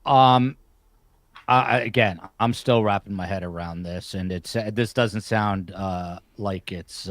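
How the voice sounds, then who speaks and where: A man hosting a legal panel, moderating casually and thinking aloud in a halting way. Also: low-pitched, no echo. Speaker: panel host.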